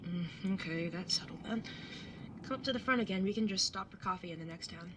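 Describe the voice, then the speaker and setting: A woman's voice: on the low side, brisk, noisy background, natural voice. A woman playing a laid-back, blunt older sister in her young adulthood, casual and teasing, in a naturalistic audio drama. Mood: groggy, easygoing. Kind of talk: naturalistic audio drama dialogue and first-person narration.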